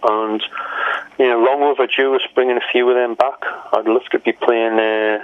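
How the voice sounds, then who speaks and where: A man's voice: mid-range voice, little pitch movement, normal speed, clear, resonant tone, no echo. A man in his sixties from England, a musician telling rambling, wry stories with frequent laughs in an interview. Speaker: musician guest. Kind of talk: casual interview storytelling. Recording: muffled lo-fi audio.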